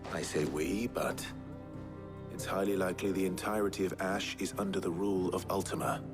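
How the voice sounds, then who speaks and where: A gruff heroic leader from a fantasy game, very low and gravelly. He gives plain orders with dry resolve, over clear background music. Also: flat delivery, fairly quick. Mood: resolute, commanding. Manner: plain, commanding, dryly humorous. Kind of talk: video game voice acting.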